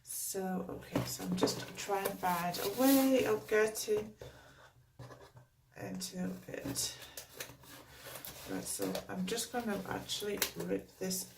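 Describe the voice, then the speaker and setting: A woman with a Geordie accent, chatting casually through a beauty box unboxing at home, her voice croaky from a cold and her talk rambling with lots of fillers. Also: medium pitch, recorded from a distance, heavy background noise. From North East England. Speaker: beauty vlogger.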